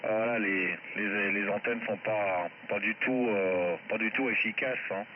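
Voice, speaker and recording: A man's voice: lowish voice, fairly even intonation, measured pace. A man chatting casually as an amateur radio operator, heard over a shortwave radio link. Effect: shortwave amateur radio transmission. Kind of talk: casual radio contact chat. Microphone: heard through a radio receiver. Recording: muffled, static-filled shortwave radio reception.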